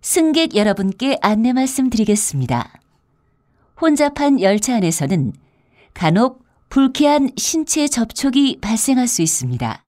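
A woman's voice: a little high, sing-song, moderate pace, darkish tone, dry recording, clean studio sound. A woman reading scripted transit announcements in a formal, polite manner with careful enunciation. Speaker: subway announcer.

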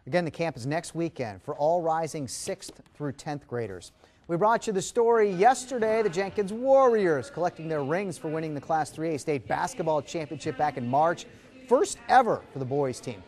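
A middle-aged man anchoring TV sports, reading highlights in a punchy, emphatic announcer style. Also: lively intonation, normal speed, darkish tone. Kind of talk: scripted sports highlights narration. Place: TV news studio.

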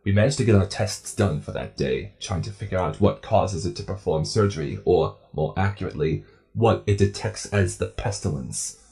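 A man's voice: low voice, moderate pace, gravelly, warm tone, soft background music. A man narrating scripted clinical case files in a formal, clinical manner.

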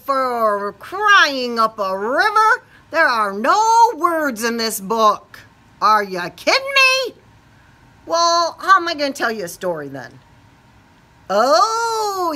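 A middle-aged American woman teaching kindergarten, talking playfully to young children as she leads a read-aloud. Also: a little high. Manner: animated, playful, exaggerated questions and reactions as to small children. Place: small classroom, indoors.

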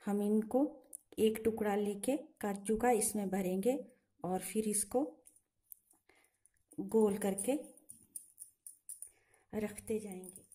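A woman from Himachal Pradesh guides a home cooking lesson at an unhurried pace. Her voice is soft and mellow, and she explains each step patiently, one at a time. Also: mid-range voice, fairly even intonation. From Himachal Pradesh, India. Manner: slow, patient, instructive. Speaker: home cooking channel host. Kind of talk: step-by-step cooking demonstration voice-over. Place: home kitchen.

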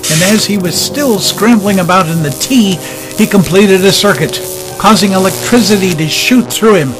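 A man with a high-pitched voice reads scripted story narration. He tells the tale as an audiobook narrator, with prominent music playing behind him.

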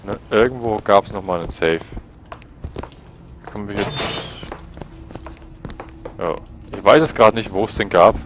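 German let's player with a cold. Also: male voice, lowish voice, a little slow, pauses often, background noise. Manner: rambling, self-correcting. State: has a cold. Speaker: let's play host. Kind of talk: gameplay commentary. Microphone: headset.

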